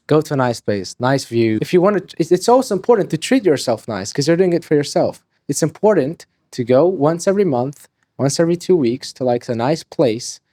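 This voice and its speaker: A nineteen-year-old man from Hungary, rambling quickly and swearing freely as a podcast guest. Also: mid-range voice, dark tone, no echo, studio quality. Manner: rapid, rambling, swears freely, restarts sentences. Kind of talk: casual interview talk.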